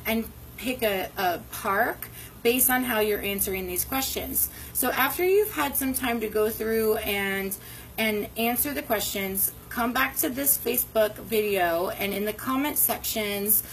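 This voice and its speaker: A woman's voice: medium pitch, slight background noise, poor audio. Chatty woman teaching camping outdoors. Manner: conversational, frequent ums and restarts. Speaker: camping workshop host. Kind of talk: casual instructional livestream. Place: covered porch outdoors by a brick wall. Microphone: phone camera at arm's length.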